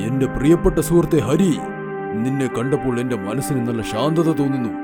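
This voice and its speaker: A man voicing a proud, authoritative lion king of the jungle in an animated children's story. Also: mid-range voice, a little fast, close to an everyday voice. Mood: warm, pleased. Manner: authoritative, stately. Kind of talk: animated children's fairy tale dialogue. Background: music.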